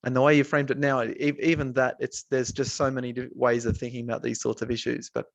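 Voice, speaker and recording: A man from Australia talking casually in a webinar, pausing often with fillers and restarts, speaking from an office building. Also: medium pitch, little pitch movement, vocal fry, dry recording. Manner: hesitant, many restarts and fillers. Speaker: researcher.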